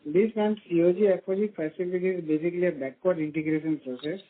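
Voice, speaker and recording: A man with an Indian accent reports figures on a conference call through a tinny phone connection. He sounds like a finance executive, formal and a little halting in his delivery. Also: sits high, steady tone. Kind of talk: earnings call answers.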